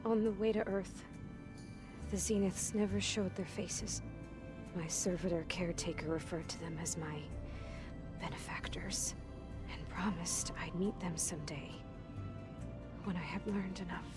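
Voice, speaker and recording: Casting: woman playing anxious young female game character, fairly low voice, halting and subdued, music behind. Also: steady tone, close to an everyday voice. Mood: hesitant, uneasy. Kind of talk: video game cutscene dialogue.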